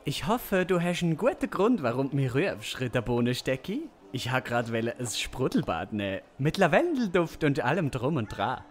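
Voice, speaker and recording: Male actor as self-important waffling ruler, animated pitch, comic audio drama, background noise. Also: normal speed, lightly acted. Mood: pompous. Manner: waffling, self-important, hesitant with many tag questions. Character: Gessler, pompous, dithering village overlord who loves comfort. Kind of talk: comic Swiss-German audio play acting.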